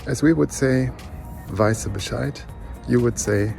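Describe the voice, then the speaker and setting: A man's voice: mid-range voice, a little slow, gravelly, a little mellow, faint music behind. A man with a German accent, a driving instructor, talking casually in a video message about his car.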